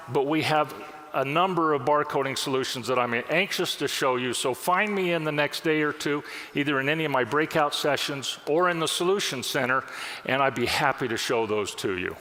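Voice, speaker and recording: A fairly high, bright-voiced man leads a software demo at a conference. He explains each step in a clear, upbeat manner. His voice carries a long hall reverb. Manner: upbeat, explanatory, steps through screens. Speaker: product demonstrator. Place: conference session room.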